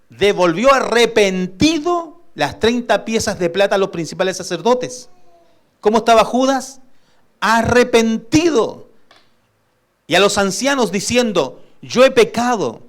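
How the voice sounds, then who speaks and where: A man's voice: high voice, lively intonation, unhurried. A man preaching a sermon as pastor. He puts questions to the congregation and draws out key words for weight. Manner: emphatic preaching that asks the congregation for responses; swings between loud emphasis and soft asides.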